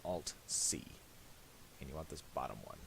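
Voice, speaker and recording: A man giving a casual software tutorial, speaking haltingly and restarting his phrases as he explains each step. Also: rumbling low, vocal fry, a little hiss or hum, rough recording. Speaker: tutorial presenter.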